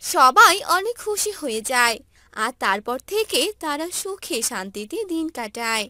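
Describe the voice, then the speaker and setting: A woman narrating a cartoon fairy tale clearly and in a lively way. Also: high-pitched, measured pace, no room sound. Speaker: storyteller. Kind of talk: scripted narration.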